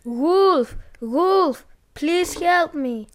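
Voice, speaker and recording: A woman's voice: high-pitched, steady tone, unhurried. Woman voicing a mischievous shepherd boy. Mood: frightened pleading. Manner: calls out loudly, playful then pleading.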